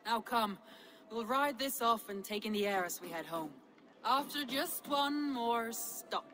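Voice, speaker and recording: Casting: bold Viking adventurer, female, higher-pitched voice, teasing confidence, hearty, dramatic game delivery. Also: animated, a little slow, bright, forceful tone. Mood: eager, teasing. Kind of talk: dramatic video game acting.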